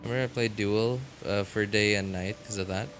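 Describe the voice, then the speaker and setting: A man live streaming a game, chatting casually in halting phrases that trail off. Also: on the low side, fairly even intonation, a bit bright, soft background music. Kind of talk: casual live chat while gaming.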